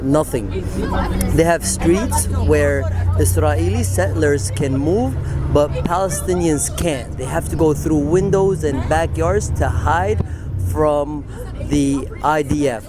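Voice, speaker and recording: A man's voice: a little high, slow, a crowd in the background, noisy background. A man from Yemen, a protester giving an impassioned street interview statement in short, repeated slogans.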